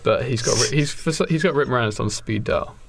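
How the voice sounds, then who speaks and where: A young man co-hosting a comedy podcast, improvising a story in a halting, giggly, casual way. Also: medium pitch, creaky, music in the background. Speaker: podcast co-host.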